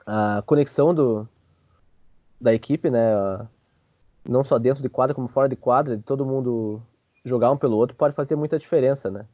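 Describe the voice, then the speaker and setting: A man's voice: medium pitch, normal speed, mellow, dry recording, lo-fi sound. A man hosting a sports show with casual, joking basketball commentary full of informal filler words.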